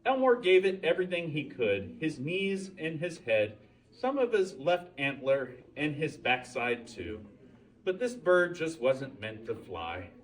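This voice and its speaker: Male storyteller for children's picture book reading, very lively intonation, interactive with listeners, picked up from a distance. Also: a little high. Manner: pauses to ask the listeners questions. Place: indoors, library holiday open house.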